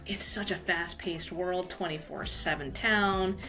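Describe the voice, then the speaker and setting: A woman's voice: lowish voice, steady tone, measured pace, bright, forceful tone, soft background music, low-quality recording. A woman from Hawaii, a guest author telling her story in a casual podcast interview, filling her talk with "you know". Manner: chatty, many "you know" fillers.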